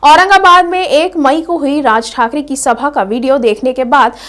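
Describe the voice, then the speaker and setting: Female news presenter, high voice, scripted bulletin delivery. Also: moderate pace, a bit bright.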